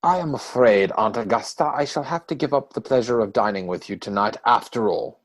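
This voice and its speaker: A man playing a flippant young Victorian gentleman in a stage comedy reading, with glib, mock-earnest theatrical delivery. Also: medium pitch, brisk, close to an everyday voice. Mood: feigned regret.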